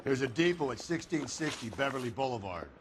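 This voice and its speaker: An actor playing a blunt, world-weary 1940s police detective in a video game, with dry, slangy delivery. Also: male voice, medium pitch, animated, a little fast, background noise, lightly acted. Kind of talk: video game cutscene acting.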